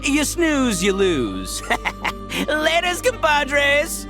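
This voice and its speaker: Big goofy oni gang boss, anime game, boastful, slangy, swooping pitch, music behind. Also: male voice, huge pitch swings, drawn-out words, exaggerated voice. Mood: cocky, hyped. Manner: brash, slangy, swaggering. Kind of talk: anime game character acting.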